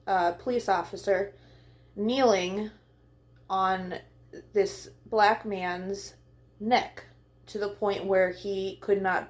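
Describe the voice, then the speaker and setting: A woman hosting a children's storytime, speaking in simple, deliberate phrases meant for young listeners. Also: mid-range voice. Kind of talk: read-aloud and talk addressed to young children.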